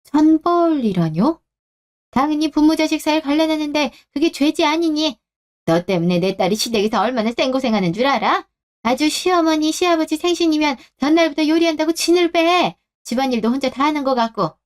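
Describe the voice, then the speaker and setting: A woman plays an older mother-in-law in a radio drama, her voice pitched high and shrill. She is grasping and domineering, and she scolds and accuses in a sharp, pushy way. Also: expressive, a little fast. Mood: scolding. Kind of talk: Korean radio drama acting.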